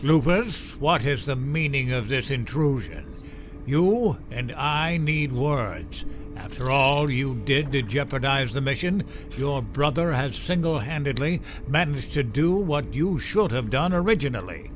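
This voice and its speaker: A man's voice: medium pitch, lively intonation, a little slow, music in the background, natural voice. A man playing a stern, pompous wolf father and pack leader with theatrical delivery in a sci-fi audio drama.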